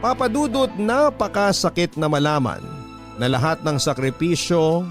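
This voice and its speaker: A man's voice: on the high side, normal speed, faint music behind. A man narrating a listener's letter in a dramatic radio storytelling style.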